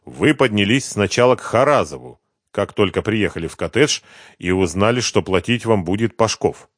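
A man voicing a calm, commanding detective who questions a suspect in an audiobook, level and firm. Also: on the low side, normal speed, no echo, clean studio sound. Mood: steady, commanding. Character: calm, relentless male detective interrogating a suspect. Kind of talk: audiobook detective novel dialogue.

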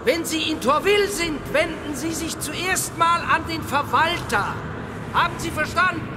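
A man playing a brisk official in a radio play, calling out instructions to a departing traveller. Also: very high voice, over music. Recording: noisy outdoor scene with engine sounds.

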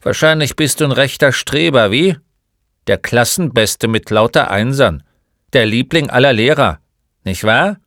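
A gruff old storybook shopkeeper, performed by a man, who fires off blunt, mocking questions. The voice is forceful and swings upward from a grumble, recorded very dry. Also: mid-range voice, expressive, measured pace, dry recording, voice pushed up. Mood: scornful. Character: gruff, mocking old male bookshop keeper. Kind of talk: storybook character voice.

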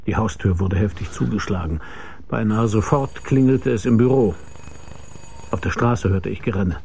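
Casting: hard-boiled radio detective, mellow gravelly voice, dry and laconic. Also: male voice, on the low side, normal speed, a little hiss or hum. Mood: terse narration. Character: hard-boiled, world-weary male private detective, narrating. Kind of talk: noir radio drama narration and dialogue.

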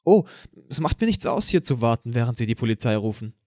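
Man playing defiant male teenager, mid-pitched voice, calm, mock-polite, dry close sound, audio drama. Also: quick pace, dry recording. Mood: innocent insistence. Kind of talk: amateur audio drama acting.

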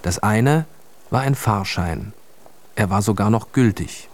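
A man's voice: on the low side, a little slow. A man narrating a scripted children's story as the narrator of a radio play. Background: music.

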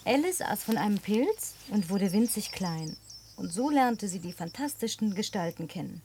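A woman's voice: medium pitch, very animated, normal speed, mellow, a little hiss or hum. An actress playing a teasing, worldly American city woman in a naturalistic German radio play, speaking in a playful, probing, colloquial way.

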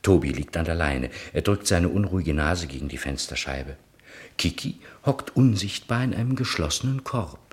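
A man narrating a radio play from a script, setting the scene in a measured way. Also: sits low, expressive.

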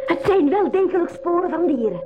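A woman's voice: extremely high pitch, lively intonation, brisk. An actress playing a curious, eager young girl with formal diction in a 1980s school radio drama. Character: Marleen, curious, observant young girl on an adventure. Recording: dull, noisy 1980s radio recording.

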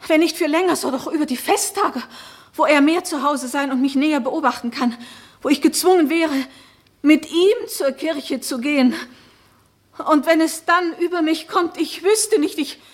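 An actress plays a married noblewoman who doubts who she is. Her voice is high and her phrasing solemn and poetic. She speaks as in a literary radio drama, earnest and pleading. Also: normal speed, natural voice. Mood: anxious pleading. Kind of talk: literary German radio play acting.

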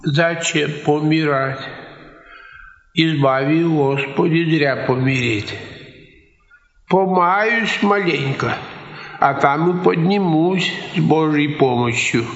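Slow, meek old pilgrim man. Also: on the high side. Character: meek, devout old wandering pilgrim from the north. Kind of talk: radio drama acting. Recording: echoey hall, dull lo-fi radio play.

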